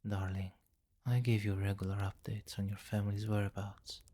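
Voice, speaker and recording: A man playing a possessive, commanding vampire king in an intimate ASMR fantasy roleplay, speaking formally and deliberately, with courtly, doting authority. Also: lowish voice, flat delivery, warm tone, lightly acted. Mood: exasperated, reassuring.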